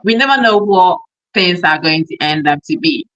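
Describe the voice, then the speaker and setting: A woman's voice: lowish voice, expressive, moderate pace, fairly bright tone, no echo, highs cut off. A woman from Ghana hosting a casual online business chat, talking earnestly and thoughtfully over a video call. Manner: earnest, reflective, explains with everyday examples. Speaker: host.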